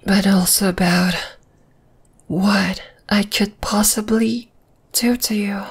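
A woman playing a dominating, flesh-eating spider monster in an ASMR roleplay, whispering in a breathy, teasing, menacing way. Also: mid-range voice, steady tone, slow pace, exaggerated voice. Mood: gloating, menacing. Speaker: voice actor.

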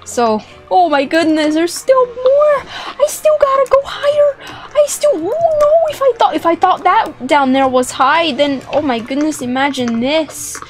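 A young woman giving playful, excitable, dramatic commentary as she plays a video game. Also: very high-pitched, measured pace. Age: young adult. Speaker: gaming video host. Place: indoor room with plush toys. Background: music.

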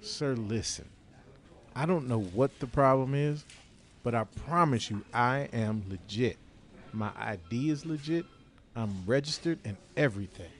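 A man's voice: mid-range voice, expressive, unhurried. An actor playing a wary, foul-mouthed drifter in his thirties in an audio drama, talking in casual slang. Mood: defensive. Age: 30s. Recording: noisy outdoor urban ambience.

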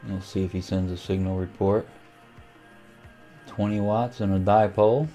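A man giving a casual, unhurried screen tutorial, thinking aloud as he goes. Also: low-pitched, steady tone, mellow, faint music behind. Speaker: amateur radio tutorial host. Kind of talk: casual screen-recorded software walkthrough.

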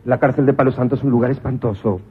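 An actor playing a stern, ruthless police commissioner in a radio comedy drama, with a husky voice that flips into manic shouting. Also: male voice, medium pitch, steady tone, fast, natural voice. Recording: muffled old radio broadcast.

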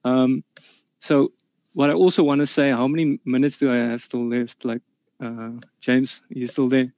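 A man's voice: mid-range voice, fairly even intonation, moderate pace, a little mellow, no room sound. A man whose first language is Afrikaans, giving an online debate statement with many fillers and restarts over a video call. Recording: dull, slightly lo-fi dry sound.

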